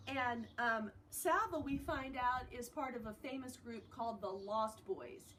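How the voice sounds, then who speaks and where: An American woman reading a story aloud like a teacher, expressive and explanatory, breaking off for casual commentary. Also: on the high side, a little slow. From United States. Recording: distant mic, noisy room ambience.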